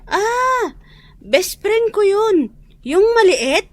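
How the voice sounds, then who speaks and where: A woman's voice: extremely high pitch, big pitch swings, extremely slow, no room sound, full character voice. A woman playing a chatty, helpful young best friend who loves to pass on news in a radio drama. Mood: polite, mildly offended. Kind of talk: radio drama acting in Ilocano and Tagalog.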